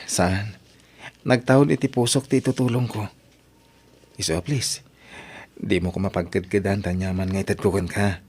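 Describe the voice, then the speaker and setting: A man's voice: on the low side, steady tone, moderate pace, long pauses, darkish tone, breathy edge. A man acting as a guilt-ridden, generous young man in an Ilocano radio drama, solicitous and insistent, with an Ilocano accent. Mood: guilty, concerned.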